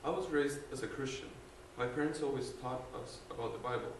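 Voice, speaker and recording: A man's voice: mid-range voice, a little fast, a little hiss or hum. A young man from Guatemala sharing a personal faith testimony as a student, earnest and hesitant, with many fillers and restarts. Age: young adult. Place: large room with an audience. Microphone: no mic, distant recorder. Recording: echoey room from far off.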